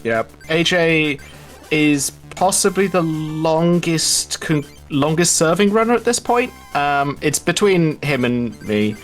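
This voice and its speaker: A man giving live commentary on a game race, wordy and prone to drawn-out emphasis. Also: fairly high pitch, lively intonation, faint music behind.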